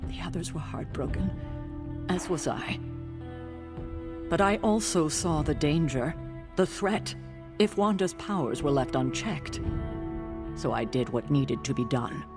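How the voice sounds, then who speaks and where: A woman's voice: deep voice, animated. Stern older guardian woman, music behind. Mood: somber. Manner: grave, deliberate, authoritative. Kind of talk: video game cutscene acting.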